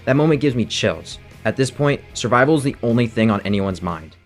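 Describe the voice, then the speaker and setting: A man's voice: mid-range voice, lively intonation, fairly quick, over music. A man reading a scripted, analytical video essay narration about a game.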